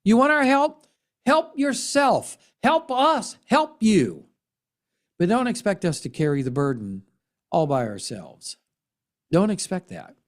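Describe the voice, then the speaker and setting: A middle-aged American man speaks in a somewhat high voice with wide swings in intonation. He gives impassioned commentary, earnest and emphatic, leaning on repeated phrases and deliberate dramatic pauses. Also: sing-song. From United States. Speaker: political commentary host and military veteran.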